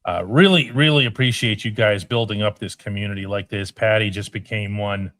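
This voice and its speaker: A man's voice: fairly low pitch, brisk, a bit bright, no echo. A man hosting a live sports podcast, reading viewer comments in a firm, emphatic way. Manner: firm, emphatic, repeats himself for stress.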